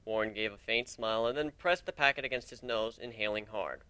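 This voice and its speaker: A man's voice: on the low side, brisk, bright, forceful tone, clear, resonant tone, dry recording. A man reading a story aloud as narrator, in a dramatic audiobook style. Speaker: narrator of a fan fiction reading.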